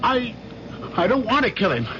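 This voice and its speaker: An anguished husband in an old radio drama. His pitch is high and swings dramatically, on a muffled recording with music behind. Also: very animated, normal speed, background noise. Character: anguished husband fearing his own murderous rage. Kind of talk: 1970s radio drama acting.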